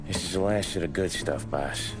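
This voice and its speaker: A deep, gruff American mob lieutenant, played by a man in a crime game. He talks tersely and dryly, with music behind him. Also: normal speed, natural voice. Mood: businesslike. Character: skeptical, matter-of-fact male mob lieutenant. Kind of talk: video game cutscene acting.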